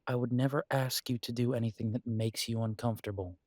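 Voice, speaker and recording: A man acting as an earnest, protective werewolf in an intimate roleplay audio, speaking tenderly to a partner with halting pauses. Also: mid-range voice, fairly even intonation, a little mellow, dry recording, clean studio sound, lightly acted.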